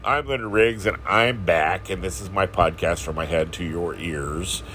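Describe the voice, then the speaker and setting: Deep-voiced man podcasting from bed. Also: normal speed, fairly bright tone, a little hiss or hum. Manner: rambling, self-deprecating, chuckling. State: morning voice, just woken. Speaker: podcast host. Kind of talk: casual solo podcast talk. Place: in bed at home.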